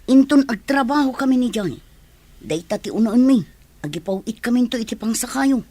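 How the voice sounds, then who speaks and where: Casting: actress as keen young villager, very high voice, Ilocano accent, reassuring, radio drama. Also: female voice, expressive, moderate pace, dark tone, no room sound. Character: keen, reassuring young woman bound for work abroad.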